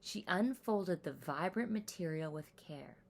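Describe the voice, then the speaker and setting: A woman reading a children's story aloud with animated, deliberate, expressive narration. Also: on the low side, creaky.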